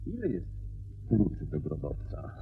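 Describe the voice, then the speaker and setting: Deep, gravelly detective, muffled sound. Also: male voice, huge pitch swings, soft background music, exaggerated voice. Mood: pensive. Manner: measured, inquisitive, deliberate. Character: astute, courteous male private detective questioning a client. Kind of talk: radio play acting. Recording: dull, muffled radio play recording.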